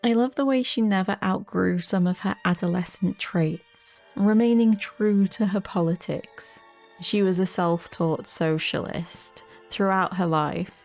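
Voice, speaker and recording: A woman's voice: medium pitch, unhurried, creaky, darkish tone. A woman giving a reflective personal recollection. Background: faint music.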